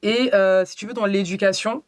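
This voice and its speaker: A woman's voice: medium pitch, fairly even intonation, moderate pace, no room sound. French woman chatting in a very dry recording. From France. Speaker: podcast guest. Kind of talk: casual interview chat.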